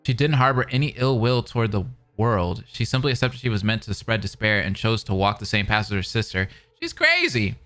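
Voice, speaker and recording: A man's voice: lowish voice, steady tone, brisk, few pauses, a bit bright, soft background music. A man hosting a reaction video, reading visual novel narration aloud with casual, slangy commentary. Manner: informal, slangy asides.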